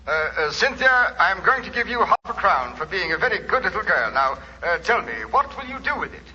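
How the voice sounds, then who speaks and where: An actor playing a fussy, condescending rich British city gentleman with a pompous, hesitant manner in old radio style. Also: high voice, very animated, brisk, muffled recording, exaggerated voice. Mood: fussy, patronizing. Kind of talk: 1940s radio acting. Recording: noisy, reverberant 1940s radio recording.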